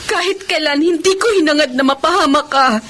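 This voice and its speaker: A devoted young elder sister in a radio drama. Her voice sits very high, earnest and full of feeling, over a faint noisy backdrop. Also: fairly quick, a bit bright. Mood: tearful, pleading. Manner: earnest, emotional, pleading delivery. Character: young working student, devoted self-sacrificing elder sister. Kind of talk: Filipino radio drama acting.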